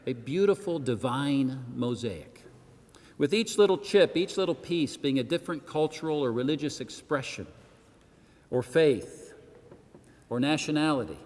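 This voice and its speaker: Guy doing a formal launch speech, slightly high voice, emphatic phrasing, lots of church reverb. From Senegal. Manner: measured, emphatic oratorical phrasing with rhetorical asides. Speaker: arts organisation president giving a launch speech. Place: church hall, addressing a gathering. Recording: long echoing reverb of a large hall.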